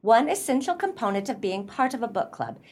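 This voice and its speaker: Scolding, animated bookish club lady. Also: a little high, fairly quick, slight background noise. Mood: indignant. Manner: sharp-tongued, pedantic, indignant. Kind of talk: stage-style radio play acting.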